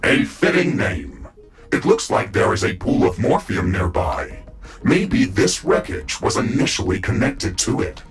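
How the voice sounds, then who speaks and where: A man voicing a calm, loyal artificial intelligence in a video game, with measured, formal, analytical speech. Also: lowish voice, creaky, faint music behind, full character voice. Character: calm, loyal male AI of a battle mecha suit. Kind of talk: scripted video game dialogue.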